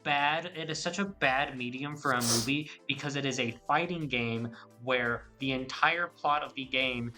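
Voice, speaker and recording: A young man co-hosting a podcast, talking in a loose, excitable way with lots of restarts. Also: medium pitch, normal speed, hardly any pauses, soft background music. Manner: excitable, rambling with many restarts. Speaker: podcast co-host. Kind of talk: casual chat.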